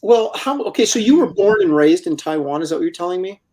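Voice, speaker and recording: An older man in his sixties hosts a relaxed live stream, keeping up a chatty, easygoing talk. He trades friendly questions with his guest and reads out comments from viewers as they come in. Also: sits high, fast talker, vocal fry. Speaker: host. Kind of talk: casual live-stream chat.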